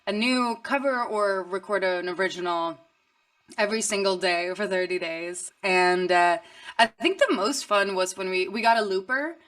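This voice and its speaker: A woman's voice: mid-range voice, fairly bright tone. Upbeat woman in her twenties. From Stockholm, Sweden. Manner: upbeat, chatty, quick laughter. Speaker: musician guest. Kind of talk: casual interview chat.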